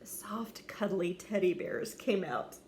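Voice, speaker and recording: A woman reading a children's story expressively, with theatrical delivery and dramatic pauses. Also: fairly low pitch, lively intonation, a little mellow, in a whisper. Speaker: storybook reader.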